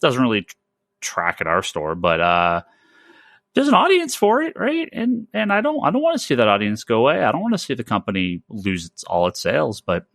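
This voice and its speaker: A man's voice: mid-range voice, wildly swooping pitch, a little fast, creaky, fairly bright tone, dry recording, studio quality. A man hosting a casual comics podcast, talking emphatically and with strong opinions, often restarting and repeating phrases. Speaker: podcast host, comic store owner.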